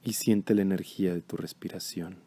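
A man leading a guided meditation in a calm, measured, instructional way. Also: sits low, creaky, husky.

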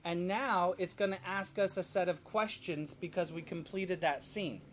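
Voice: mid-range voice, normal speed. A parent leads a family Bible lesson in an instructive, quizzing manner, often repeating answers back. The voice is picked up from a little distance, with faint noise behind it. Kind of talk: teaching children with questions. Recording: distant mic with light background noise.

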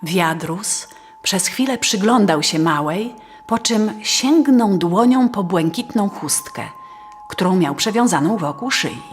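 A woman's voice: medium pitch, lively intonation, takes it slowly. A woman narrating a scripted fairy tale as a storyteller. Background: faint music.

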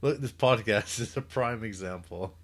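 A man's voice: lowish voice, moderate pace, a bit bright. A man co-hosting a podcast, chatting casually with wry, dry jokes. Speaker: podcast co-host. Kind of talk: casual banter.

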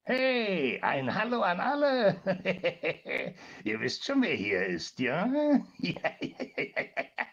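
A man playing a cheeky, giggly comic guest in an audio drama, teasing in a raspy, creaky voice. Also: high-pitched, huge pitch swings, slow, a bit bright, muffled recording, heavily acted. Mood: playful, gleeful. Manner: playful, chuckling, teasing.

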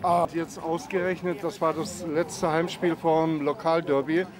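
A man's voice: on the high side, level tone, a little slow, a crowd in the background. A man working as an interviewer, casually questioning fans outdoors by a football stadium on a handheld microphone. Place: outdoors among passing crowd outside a football stadium.

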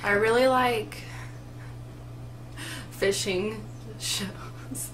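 A woman's voice: medium pitch, fairly even intonation, very slow, noisy background. A woman in her twenties chatting casually to a webcam in a small room, with lots of "like" and "um" fillers. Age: 20s. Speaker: co-host. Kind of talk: casual chat. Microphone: webcam at desk distance.

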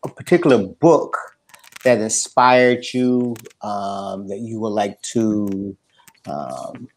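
A man's voice: fairly low pitch, lively intonation, slow pace, poor audio. A middle-aged man hosting a podcast in a casual, conversational style. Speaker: podcast host. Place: indoor room, video call. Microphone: headphones and desk mic.

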